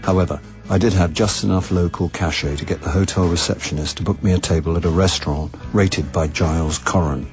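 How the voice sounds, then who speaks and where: A man's voice: sits low, fairly even intonation, brisk, few pauses, darkish tone, soft background music. An actor playing a wry, self-deprecating middle-aged man in a radio drama, dry and sardonic, easily flustered. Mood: wry narration. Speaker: actor playing the lead and narrator. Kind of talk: radio drama narration and dialogue.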